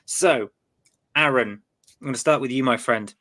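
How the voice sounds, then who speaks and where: A man's voice: medium pitch, normal speed, sharp tone. A man hosting a live-stream fan podcast, chatty and loose, often repeating words as he goes. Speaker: podcast host. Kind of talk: casual live-stream chat.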